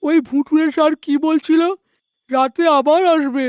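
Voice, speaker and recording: A woman voicing a frightened, excitable village woman in a cartoon, alarmed and pleading. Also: sits high, moderate pace, no room sound, clean studio sound, lightly acted. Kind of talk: animated story dialogue.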